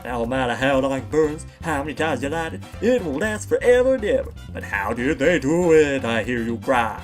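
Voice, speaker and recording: A man voicing a male squirrel who pitches products in a brash, hard-selling advert voice. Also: normal speed, soft background music, lightly acted. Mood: salesy. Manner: brash hard-sell pitch, mock-flattering. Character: fast-talking male squirrel salesman. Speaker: advert announcer.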